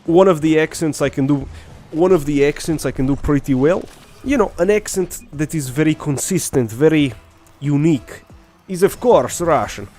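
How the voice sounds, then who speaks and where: Young streamer rambling over beats. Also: male voice, fairly high pitch, moderate pace, dark tone, faint music behind. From Ireland. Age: young adult. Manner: rambling, thinks aloud, restarts and questions. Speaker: game streamer. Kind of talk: casual live-stream chat with viewers. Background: faint background beats.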